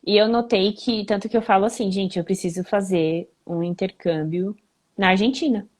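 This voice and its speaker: A woman guest, a former exchange student, telling lively, fast anecdotes in a casual interview. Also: mid-range voice.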